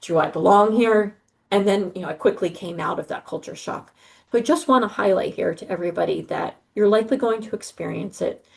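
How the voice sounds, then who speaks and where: A woman's voice: mid-range voice, fairly quick, gravelly. A middle-aged woman, an advisor giving an earnest, reassuring briefing to students over a video call, with frequent ums and uhs. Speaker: study abroad advisor.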